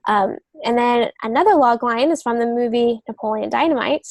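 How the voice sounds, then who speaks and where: A woman's voice: on the high side, moderate pace, a little mellow, no echo. A woman guest on a podcast, explaining writing craft casually, step by step. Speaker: podcast guest, novelist and literary agent.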